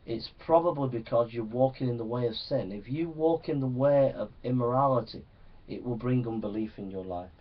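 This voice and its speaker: A male preacher teaches from the Bible in an earnest, exhorting way. His voice sits at an average pitch for a man. It is picked up some distance from the microphone, and the sound is a little dull. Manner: earnest, exhorting, often seeking assent. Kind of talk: Bible teaching sermon to young people.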